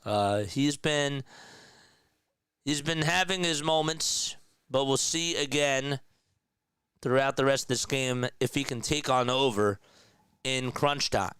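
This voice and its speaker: Man, casual live basketball play-by-play, slightly raspy and nasal from a sore throat, smooth resonant tone, dry close mic. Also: mid-range voice, slow, long pauses, a bit bright, no echo. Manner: conversational, reads and answers chat comments. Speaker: play-by-play commentator.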